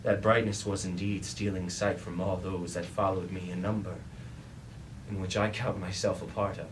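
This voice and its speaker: A brooding, commanding robot warlord played by a man in a stage tragedy, speaking in formal, archaic declamation. The voice is low and sounds roomy, with clear noise behind it. He is grandiose and resolute throughout. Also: little pitch movement, moderate pace, natural voice. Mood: grave, brooding. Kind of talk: staged reading of mock Greek tragedy. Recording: roomy, distant mic, clear background noise.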